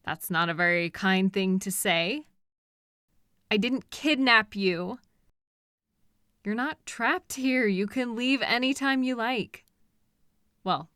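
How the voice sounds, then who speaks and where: A possessive, delusional female kidnapper played by a woman speaks very slowly in a bright, close and dry voice. She coaxes and soothes with condescending patience, pausing hesitantly as in an intimate roleplay audio drama. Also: fairly high pitch, clear, resonant tone, no echo, full character voice. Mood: patient, scolding. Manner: slow, coaxing, patient, condescending, hesitant pauses.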